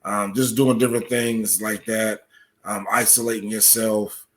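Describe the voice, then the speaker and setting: Low-voiced man explaining things in an interview. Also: little pitch movement, unhurried. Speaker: podcast guest, article author. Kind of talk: interview answers, explanatory talk.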